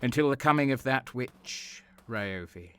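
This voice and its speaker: A man's voice: mid-range voice, lively intonation, measured pace, a bit bright, clear, resonant tone. An actor playing a cynical, cold and sadistic soldier who narrates his crimes in a brooding, sardonic way in an audio drama. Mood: brooding, bitter.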